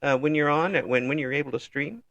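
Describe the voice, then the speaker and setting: A man's voice: a little high, creaky, fairly bright tone, no room sound, lo-fi sound. A man with an American accent interviewing in a casual chat, joking and feeling his way through questions. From United States. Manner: jokey, halting questions.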